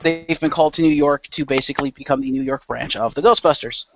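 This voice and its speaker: A man's voice: on the high side, fast talker, over music. A man hosting and narrating a role-play show as game master in a loose, informal way. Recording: dull lo-fi close microphone.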